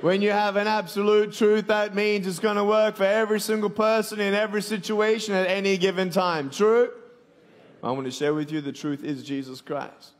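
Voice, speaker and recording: A man's voice: high-pitched, steady tone, moderate pace. A man in his twenties with an Australian accent, giving a joking, motivational sermon to a live audience and calling for responses. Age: 20s. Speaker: evangelist speaker. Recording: long hall reverb.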